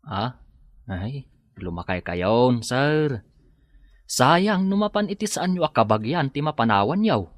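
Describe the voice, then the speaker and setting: A devout, respectful servant in a radio drama, acted by a man. He has a mid-pitched voice that rises and falls in a lively way, recorded dry and close. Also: no echo. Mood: admonishing. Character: devout, respectful male servant counselling his employer. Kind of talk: Ilocano radio drama acting.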